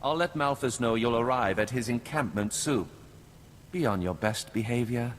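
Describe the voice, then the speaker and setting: A man's voice: mid-range voice, slight background noise, lightly acted. Courtly, commanding nobleman, played by an actor. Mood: composed, businesslike. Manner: formal, measured, courtly. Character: suave, commanding male head of noble house. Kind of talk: video game dialogue. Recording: background music under the voice.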